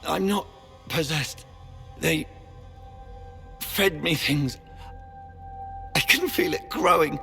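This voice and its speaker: An actor playing a dying, betrayed knight in a video game, weakened and strained with pain. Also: male voice, on the high side, takes it slowly, long pauses, sharp tone, full character voice. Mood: anguished. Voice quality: gravelly, strained. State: in agony, weakened. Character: dying male knight, tormented, bitter, betrayed. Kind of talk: dramatic video game acting. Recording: music behind the voice.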